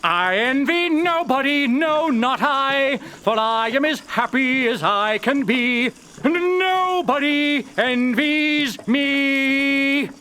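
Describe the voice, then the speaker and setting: Man playing a high-voiced merry, humble miller. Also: animated, slow, pushed and bright, full character voice, low-quality recording. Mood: merry singing. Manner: cheerful, singsong, often bursts into song. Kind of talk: storybook character voice.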